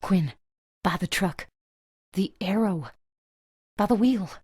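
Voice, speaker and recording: A woman playing a steady, tough survivor in an audio drama, with a soft husky voice. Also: medium pitch, slow pace, dry recording, clean studio sound. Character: steady, tough female survivor of dragon attacks.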